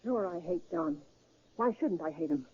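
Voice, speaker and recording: A woman's voice: low-pitched, expressive, normal speed, darkish tone, husky. An actress playing a bitter, frightened, tough-talking gangster's woman in a 1930s radio crime drama. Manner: tough, slangy, hesitant. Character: bitter, fearful gangster's woman bent on revenge. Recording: muffled, lo-fi 1930s radio recording.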